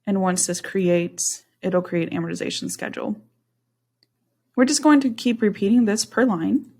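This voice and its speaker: A woman narrates a software demonstration in an instructional, step-by-step way. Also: medium pitch, animated, moderate pace. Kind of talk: screen-share software tutorial narration.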